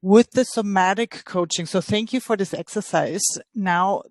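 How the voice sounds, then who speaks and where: A woman's voice: medium pitch, moderate pace, a little mellow, no echo. Chatty Austrian woman, dry close sound. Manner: enthusiastic, chatty, self-mocking. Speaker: participant asking a question. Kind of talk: eager question in a webinar.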